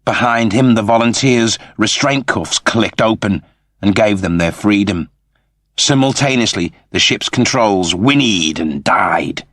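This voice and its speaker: A male audiobook narrator with a lowish, husky voice reads scripted fiction. His delivery is measured and dramatic. The sound is dry and close. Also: lively intonation, a bit bright, no echo.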